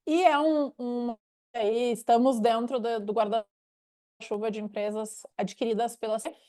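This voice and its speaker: A woman's voice: on the high side, darkish tone. A Brazilian woman with a gaúcho accent hosting a webinar, talking in a conversational, explanatory way. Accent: gaúcho Brazilian Portuguese. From Rio Grande do Sul, Brazil. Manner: didactic, explanatory. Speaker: webinar host, director of a knowledge unit. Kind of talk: conversational presentation.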